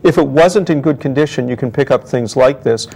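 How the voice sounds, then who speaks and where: A man with a middle-pitched voice talks as an expert guest in an interview. He explains things in a conversational way, with clear enthusiasm for his field. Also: fairly quick, a little mellow. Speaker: guest antiquarian book expert.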